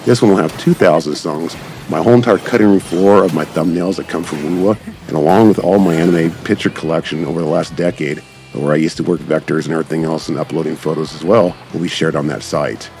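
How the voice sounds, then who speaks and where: A man's voice: extremely low pitch, steady tone, fast, darkish tone, slight background noise. A man giving casual, enthusiastic, rambling gameplay commentary as a channel creator. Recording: background music behind the voice. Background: music.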